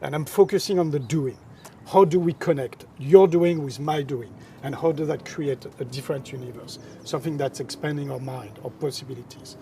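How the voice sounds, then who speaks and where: A man's voice: on the high side, moderate pace, vocal fry, warm tone, slight background noise. A middle-aged man from France with a French accent, a guest in a casual interview chat, talking in an expansive, philosophical, emphatic way.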